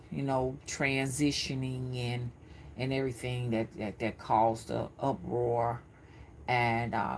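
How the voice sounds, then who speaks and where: A woman's voice: rumbling low, gravelly, a little hiss or hum. A woman in her fifties talking casually on a live stream, rambling and often repeating her words as she goes. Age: 50s. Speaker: spiritual reader streaming live.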